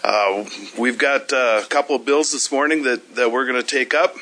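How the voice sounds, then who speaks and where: A man chairing a legislative committee hearing in a hearing room, easygoing and folksy, speaking into a desk microphone with plenty of filler pauses. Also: mid-range voice, pushed and bright.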